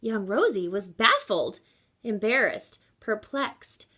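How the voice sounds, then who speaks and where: A woman teacher reading a picture book aloud to children in an expressive, instructive way, with deliberate emphasis. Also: medium pitch. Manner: teacherly, asks listeners questions, deliberate emphasis.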